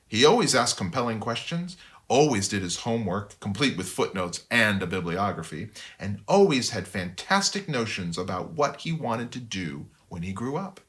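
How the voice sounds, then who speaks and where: A man reading his own book aloud for children in an expressive way, with conversational asides. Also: fairly low pitch, moderate pace. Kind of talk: animated storybook read-aloud for children.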